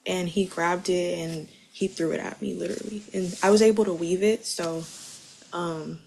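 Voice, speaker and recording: A woman's voice: on the low side, fairly even intonation, moderate pace, creaky, darkish tone, slight background noise, low-quality recording. A young woman giving testimony as a witness in a courtroom, speaking in casual, informal wording.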